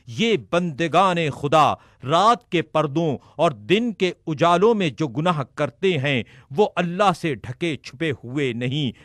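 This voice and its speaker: A man with a slightly high voice reads a sermon text aloud in a measured, formal way. The sound is dry and close, with no room around it. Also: fairly bright tone, no room sound. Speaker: reader of religious sermons. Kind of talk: solemn recitation of a sermon text.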